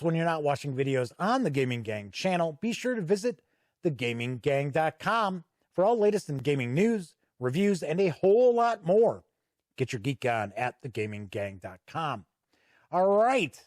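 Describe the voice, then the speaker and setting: A man's voice: on the high side, a little mellow, no room sound, clean studio sound. A man hosting a casual, unscripted video review, speaking conversationally and leaning on key words for emphasis. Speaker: tabletop game reviewer and channel host.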